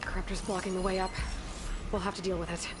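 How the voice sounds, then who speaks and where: A woman's voice: lowish voice, level tone, fast talker, close to an everyday voice. A woman playing a resolute, practical young warrior in a video game, speaking in terse, purposeful instructions. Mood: focused, purposeful. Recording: loud game music over the voice.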